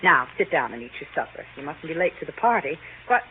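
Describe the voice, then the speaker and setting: Gentle, animated radio-drama mother. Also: low voice, quick pace. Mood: gentle concern. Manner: soothing, easily astonished. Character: gentle, fretting, middle-aged mother. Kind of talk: 1930s radio drama acting. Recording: tinny, hissy old 1930s radio recording.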